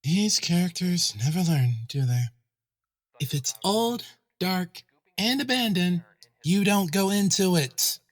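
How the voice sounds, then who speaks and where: A man's voice: high voice, lively intonation, takes it slowly, full character voice, rough recording. A man voicing a practical, placating young pony husband, earnest and a little defensive, in a fan fiction audio drama. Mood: wry.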